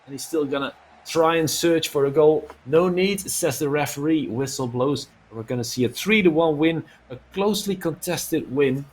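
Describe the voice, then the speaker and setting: A man's voice: fairly high pitch, normal speed, husky, poor audio. A man giving live play-by-play commentary on a football video game as an esports commentator.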